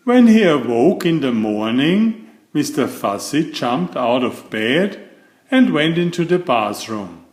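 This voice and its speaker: A man narrates a children's picture book with expressive, lively swings in pitch. He takes his time over each phrase. The room around him is noticeably echoey. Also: slow pace. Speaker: storyteller, channel host. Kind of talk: read-aloud children's storybook narration.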